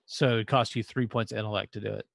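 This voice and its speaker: A man's voice: lowish voice, steady tone, fast, creaky, no echo, studio quality. A man acting as game master, casually explaining rules and improvising narration for a tabletop game.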